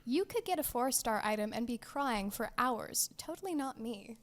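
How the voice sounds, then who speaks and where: A woman co-hosting a casual gaming podcast, talking in a lively, playfully dramatic way and laughing often. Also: on the high side, moderate pace. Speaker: podcast co-host.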